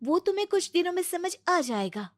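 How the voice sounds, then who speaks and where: Woman playing anxious young sweetheart, high and plaintive, earnest, family moral tale. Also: big pitch swings, a little fast, no room sound, clean studio sound. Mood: upset. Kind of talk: Hindi family moral story dramatization.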